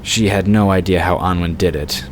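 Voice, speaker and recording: A man with a deep voice delivers scripted fantasy narration. There is clear noise and ambience behind his reading. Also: moderate pace.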